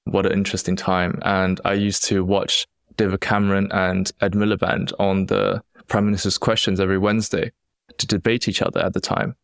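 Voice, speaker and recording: A man's voice: deep voice, level tone, fairly quick, vocal fry, smooth, dry recording, studio quality. A young man from China with a Chinese-accented English touched by British speech, hosting a solo podcast and telling his story in an earnest, reflective way with frequent restarts. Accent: Chinese-accented English with British influence. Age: young adult. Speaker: podcast host.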